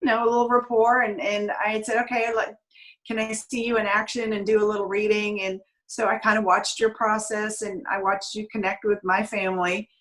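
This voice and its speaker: Female interview host, mid-range voice. Casual, earnest, conversational delivery with expressive phrasing. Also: mid-range voice, poor audio. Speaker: show host.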